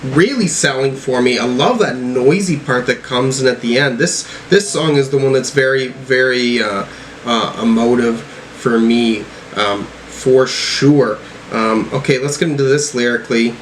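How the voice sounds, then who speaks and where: A man's voice: medium pitch, unhurried, a little hiss or hum, low-quality recording. A man casually reviewing an album, reading lyrics and thinking aloud in a hesitant way as he works out what they mean. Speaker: music reaction video host.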